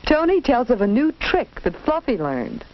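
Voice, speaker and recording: A woman's voice: on the high side, big pitch swings, moderate pace, lo-fi sound. A woman narrating a 1940s educational film as a simple story for children, speaking directly to the listener in an instructive way. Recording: band-limited 1940s film soundtrack, background noise.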